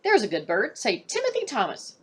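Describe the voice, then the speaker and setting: Eager, chatty male guard from a storybook, wheedling, voiced by a woman reading aloud; breathy tone. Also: a little high, wildly swooping pitch, fairly quick, voice pushed up, full character voice. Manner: wheedling, old-fashioned speech.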